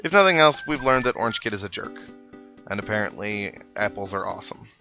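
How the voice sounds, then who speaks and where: A man's voice: on the low side, animated, brisk, pushed and bright. A man giving casual video game commentary in a wry, sarcastic, mock-exasperated way. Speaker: host of a video game playthrough. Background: video game music.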